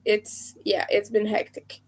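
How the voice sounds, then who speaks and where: A young woman with a raspy, hoarse voice, chatting casually with viewers as she crochets on a livestream. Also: a little slow, darkish tone, a little hiss or hum, poor audio. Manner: chatty, talks to viewers while working. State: hoarse from streaming a lot. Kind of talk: casual chat while crocheting live.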